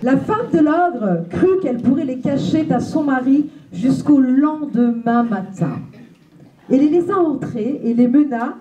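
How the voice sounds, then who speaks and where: A woman reading a fairy tale aloud slowly and carefully. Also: high-pitched, lively intonation, warm tone, highs cut off. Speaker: storyteller. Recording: echoey room, voice from far off.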